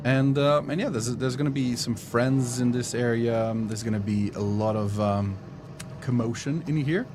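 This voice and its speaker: A man, not a native English speaker, casually showing off a game on a livestream, full of pauses and fillers. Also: medium pitch, music in the background. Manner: halting, filler-heavy, playful. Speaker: game developer showcasing a game. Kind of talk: casual livestream gameplay chat.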